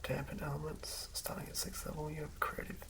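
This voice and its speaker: A host whispering in a breathy, hushed ASMR style, reading aloud and commenting casually in an unhurried way. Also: medium pitch, monotone. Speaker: host reading and building a tabletop game character.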